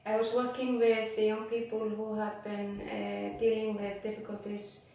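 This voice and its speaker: A woman's voice: medium pitch, completely flat delivery, vocal fry, warm tone. Woman speaking from a distance. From Iceland. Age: 40s. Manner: unhurried, many filler pauses, non-native phrasing. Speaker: interview guest, former board member. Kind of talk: casual interview answers. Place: small office with posters and plants. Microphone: camera mic from across the room. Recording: echoey room from a distant mic.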